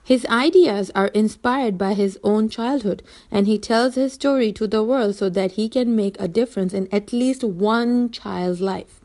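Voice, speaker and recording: A woman's voice: mid-range voice, measured pace. Earnest young woman presenting a seminar. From Bangladesh. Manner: earnest, steady, occasional restarts. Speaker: teacher.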